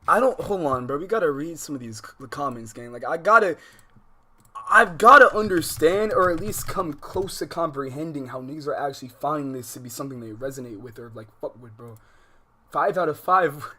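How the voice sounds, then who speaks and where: A man chatting casually as a livestream host, animated, with slangy, profane speech and rambling unfinished thoughts. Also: fairly high pitch, fairly quick. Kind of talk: casual livestream chat, reacting and addressing viewers.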